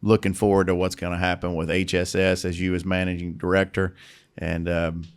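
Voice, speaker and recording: A man hosting a casual podcast, upbeat and encouraging as he speaks to his guest. His voice sits a little low and comes through clean and clear. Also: monotone, fairly quick. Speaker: podcast host. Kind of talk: casual interview chat.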